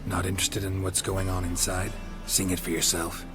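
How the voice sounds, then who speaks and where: An actor playing a gruff, weary, sardonic monster hunter in a video game, with a terse, deadpan delivery. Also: male voice, low-pitched, normal speed, over music, close to an everyday voice.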